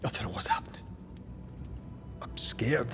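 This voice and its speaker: An actor playing a tormented, war-haunted man devoted to his lover, speaking gravely and haltingly, often dropping to a murmur, in video game dialogue. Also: whispered, natural voice. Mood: despairing. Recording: music under the voice.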